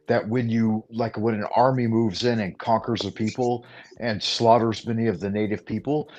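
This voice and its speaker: A man's voice: fairly low pitch, darkish tone. A man hosting a casual spiritual talk show, rambling and stumbling over his words as he tells stories. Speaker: host.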